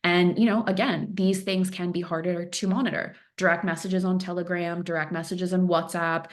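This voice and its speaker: An earnest woman gives a webinar briefing as an analyst, leaning on words and repeating phrases to drive points home. Her voice sits a little low for a woman, and she talks steadily with the odd "um". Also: fairly even intonation, moderate pace. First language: Farsi speaker. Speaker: threat intelligence analyst presenting. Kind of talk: webinar presentation.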